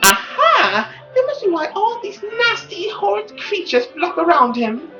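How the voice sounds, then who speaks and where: A woman's voice: very high voice, sing-song, a little slow, pushed and bright, muffled recording, faint music behind, pitched above the performer's own voice, full character voice. A woman voicing a haughty, scornful society lady gossiping in an affected, mocking way in a visual novel. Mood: gossipy, scornful.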